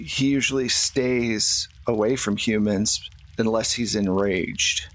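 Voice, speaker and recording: A man voicing a worried, apologetic troll who gives grave, measured warnings in a tabletop game. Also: mid-range voice. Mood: wary. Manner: measured, halting, explanatory.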